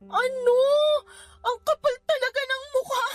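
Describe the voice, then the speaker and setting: An indignant, protective cousin in a radio drama. Her voice is extremely high, exclamatory and scolding. Faint music sits behind her. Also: steady tone, unhurried. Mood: outraged. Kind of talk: Tagalog radio drama acting.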